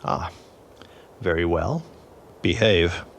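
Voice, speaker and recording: Exasperated father, deep voice. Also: slow, gravelly, a little hiss or hum, exaggerated voice. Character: exasperated, principled father of a grown daughter. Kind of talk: period audio drama acting.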